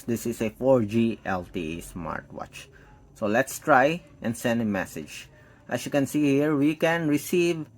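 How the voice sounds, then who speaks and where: A man giving an unscripted, step-by-step hands-on product demo, thinking aloud as he tests. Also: mid-range voice. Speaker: tech product reviewer.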